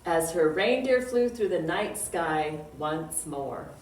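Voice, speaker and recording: A woman reading a picture book aloud to young children as a storytime librarian, with drawn-out words and dramatic emphasis. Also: low-pitched, animated, a little mellow. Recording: roomy echo, distant mic, faint noise.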